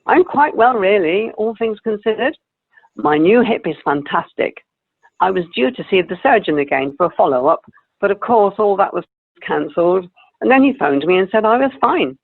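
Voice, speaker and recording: A woman's voice: medium pitch, lively intonation, normal speed, vocal fry, slightly rough. A woman playing a sweet, chatty old lady in a comic radio play, genteel and a little wistful. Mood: cheerful, polite. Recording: tinny narrow-band video call audio.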